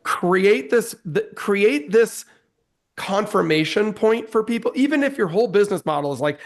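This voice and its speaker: A man's voice: high voice, expressive, normal speed. A man co-hosting a webinar, enthusiastic and full of praise, quoting people as he talks. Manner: enthusiastic, praising, quotes people in mock voices. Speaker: webinar co-host. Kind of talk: casual chat.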